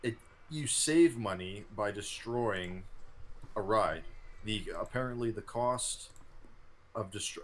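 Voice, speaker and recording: A man in his thirties chatting casually about gameplay as a co-host. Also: lowish voice. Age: 30s. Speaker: co-host of gaming series. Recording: clear background noise and ambience.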